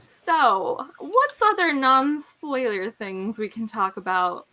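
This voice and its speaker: Female discussion host, bright voice with very lively pitch swings, chatty and jokey about books. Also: slow pace, a little hiss or hum. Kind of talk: casual chat about books.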